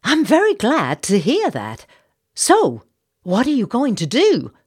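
A woman's voice: fairly high pitch, wildly swooping pitch, takes it slowly, darkish tone, husky, voice pushed up, heavily acted. A woman voicing a fretful, bossy, gossipy Southern society matron in an audiobook, with an American Southern accent and an indignant, insistent manner. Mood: determined.

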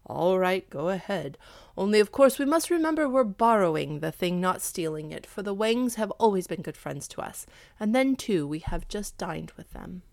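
A woman voicing a poor village husband in a storybook, envious and wary, with a grumbling, deliberate delivery. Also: medium pitch, expressive, gravelly, a little mellow, no echo, clean studio sound. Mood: cautious.

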